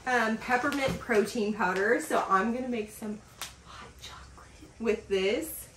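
A woman in her thirties chatting in a casual vlog as she unboxes packages in a home office, gushing and excited, with lots of fillers. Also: on the high side, measured pace, recorded from a distance, slight background noise. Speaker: vlogger. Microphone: camera across a desk. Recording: roomy sound from off the mic.

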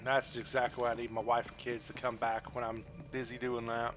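A man's voice: medium pitch, completely flat delivery, brisk, background noise. A middle-aged man giving casual livestream commentary on a game, close on a headset mic. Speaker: game streamer. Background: game music.

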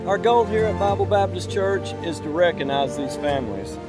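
High-voiced man with music behind him. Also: lively intonation, normal speed. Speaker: church vlog host. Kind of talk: earnest scripted monologue to camera. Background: instrumental music.

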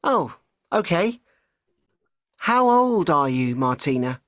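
A woman's voice: low-pitched, very animated, takes it slowly, no room sound, clean studio sound, exaggerated voice. A woman voicing a friendly sixteen-year-old boy from Spain in a scripted textbook dialogue, carefully spelling out letters. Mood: plain.

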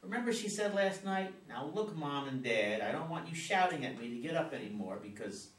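A man's voice: a little high, animated, fairly quick, fairly bright tone. A man playing an affectionate, teasing suburban American husband and father in a stage play reading. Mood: teasing, stubborn. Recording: roomy, distant, some noise.

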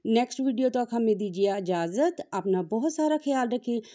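A woman's voice: a little high, lively intonation, normal speed, dark tone. A woman, hosting a cooking channel and narrating each step politely in a voice-over. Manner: step-by-step, polite, religious greetings.

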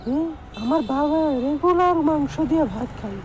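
A woman's voice: high-pitched, animated, normal speed, a little mellow, slight background noise. A woman playing a bossy, hot-tempered village wife in a comic cartoon, scolding with a Bangladeshi Bengali accent. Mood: angry, threatening. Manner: scolding, demanding.

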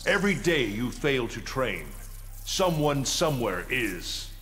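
Game role: ancient protector deity, solemn formal delivery, medium pitch, stern authority. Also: male voice, sing-song, background noise. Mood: grave, stern. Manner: formal, grave, measured.

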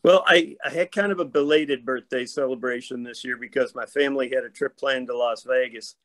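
Hoarse man in his fifties. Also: mid-range voice, fairly even intonation, fairly bright tone. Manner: measured, detailed storytelling. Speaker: actor guest. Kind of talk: casual interview chat. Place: home room. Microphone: video call.

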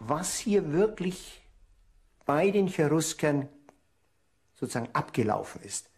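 A man's voice: fairly high pitch, sing-song, unhurried, soft background music. A man speaking as a documentary interview expert, carefully qualifying what he says.

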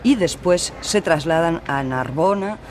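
A man explaining as an expert in an unscripted interview, in a halting, phrase-by-phrase way. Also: fairly high pitch, lively intonation, background noise.

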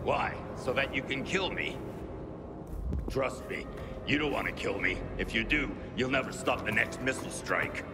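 A man's voice: medium pitch, expressive, moderate pace, over loud music, close to an everyday voice. An actor playing a composed, calculating middle-aged male military commander in a video game. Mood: calm, menacing. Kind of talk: dramatic video game acting.

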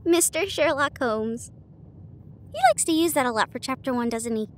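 A woman performing an intimate audio roleplay as an affectionate, bookish partner, chatting casually with hesitant pauses. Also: high voice, slight background noise. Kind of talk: intimate acted roleplay monologue. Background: ambient train sound effects.

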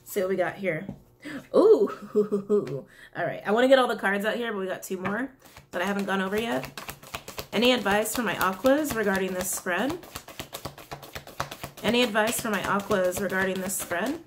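Raspy young woman doing a tarot reading. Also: medium pitch, moderate pace, a little hiss or hum. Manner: chatty, giggly, apologetic asides. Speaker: tarot reader. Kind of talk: casual tarot reading to camera. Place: small indoor room, plain wall.

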